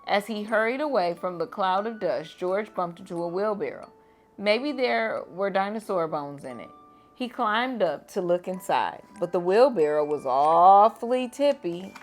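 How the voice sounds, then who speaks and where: A teacher reading a children's picture book aloud at bedtime, gentle and expressive. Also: female voice, fairly low pitch.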